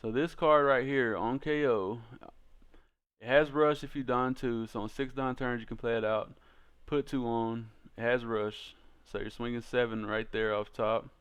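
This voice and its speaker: A man's voice: medium pitch, steady tone, moderate pace, a bit bright, smooth. A man hosting a card game channel, talking through a deck in a casual, informal way with slangy turns of phrase.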